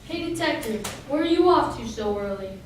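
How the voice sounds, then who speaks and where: Echoey, high-voiced teasing police sergeant played by a girl. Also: expressive, moderate pace, lightly acted. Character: teasing male police sergeant named Harry. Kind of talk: amateur stage old-time radio acting. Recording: very echoey hall, distant mic, faint noise.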